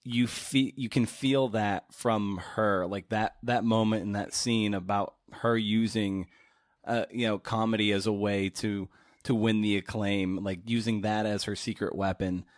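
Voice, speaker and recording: Male podcast interviewer, fairly deep voice in a dry space, conversational, halting and reflective delivery. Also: steady tone, no echo. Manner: thoughtful, halting, feels out phrasing. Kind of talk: casual interview talk.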